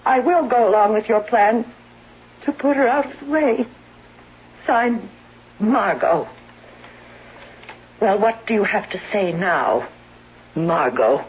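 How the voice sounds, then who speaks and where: A woman's voice: mid-range voice, animated, slow pace, pauses often, slightly rough, exaggerated voice. A woman playing an ailing, bitter, suspicious wife in a 1970s radio drama, dramatic and accusing. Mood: scornful, accusing. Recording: muffled, noisy 1970s radio broadcast.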